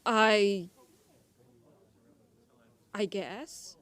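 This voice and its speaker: A woman playing an earnest, bookish high-school student with precise, slightly hesitant speech in an audio drama. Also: steady tone, extremely slow, fairly bright tone, dry recording. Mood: sheepish. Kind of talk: scripted audio drama acting.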